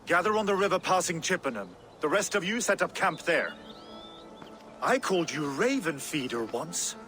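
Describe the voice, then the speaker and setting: An actor playing a proud, vengeful Viking chieftain in a video game, gruff and commanding, with game music behind. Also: male voice, a little high, big pitch swings, moderate pace, sharp tone. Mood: approving. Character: vengeful Danish warlord, proud and fierce.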